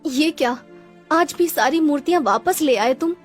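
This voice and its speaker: A woman's voice: high voice, moderate pace, soft background music, voice pushed up, close to an everyday voice. A woman voicing a poor sculptor's weary, scolding wife in a cartoon story, complaining and reproachful. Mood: disappointed.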